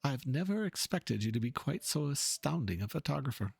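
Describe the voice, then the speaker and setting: A man playing an earnest, pompous painter in a dramatic reading of game dialogue, speaking in a florid, halting, theatrical way. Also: medium pitch, very animated, creaky, slightly rough, no room sound, pitched above the performer's own voice, exaggerated voice. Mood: delighted. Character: theatrical, earnest male painter, pompous and fervent about art.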